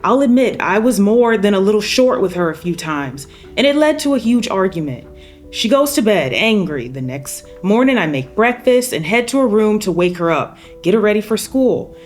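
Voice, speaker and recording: A woman's voice: mid-range voice, big pitch swings, normal speed, a little mellow, soft background music, lightly acted. Angry, exhausted mother of a missing girl, plain-spoken and folksy, mid-range voice played by a woman at a table read. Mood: bitter, weary. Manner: folksy, blunt, no-nonsense storytelling. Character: angry, weary mother of a missing teenage daughter.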